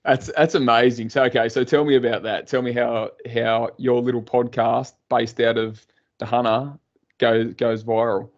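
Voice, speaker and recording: A man's voice: mid-range voice, flat delivery. Aussie guy hosting a podcast, teasing his guest, lots of restarts, kinda muffled audio. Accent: Australian. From Australia. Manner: joking, teasing, halting restarts. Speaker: podcast host. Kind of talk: casual interview chat.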